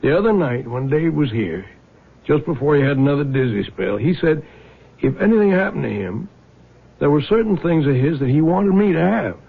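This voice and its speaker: A man's voice: fairly high pitch, animated, moderate pace, vocal fry, breathy edge, exaggerated voice. An American actor playing a crafty, stubborn middle-aged father in a radio drama, speaking in a dry, indignant manner. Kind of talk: 1970s radio drama acting. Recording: lo-fi muffled vintage radio recording.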